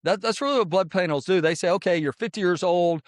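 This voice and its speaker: A man of fifty, hosting a podcast in a casual, energetic monologue full of "like" and repeated points. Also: sits high, fast talker, dry recording, clean studio sound. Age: 50s. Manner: energetic, repetitive, filler-heavy persuasive talk. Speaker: podcast host.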